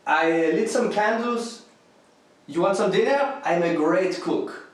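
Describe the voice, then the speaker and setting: A man's voice: high-pitched, a little slow. A man acting in a radio drama as a needy, evasive houseguest, hesitant and over-familiar. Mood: ingratiating, evasive. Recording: very echoey room, music behind.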